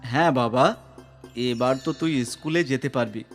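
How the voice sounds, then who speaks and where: A man's voice: mid-range voice, moderate pace, soft background music, close to an everyday voice. A man voicing a humble, caring village father and poor craftsman in a cartoon. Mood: gentle, humble. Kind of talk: cartoon voice acting.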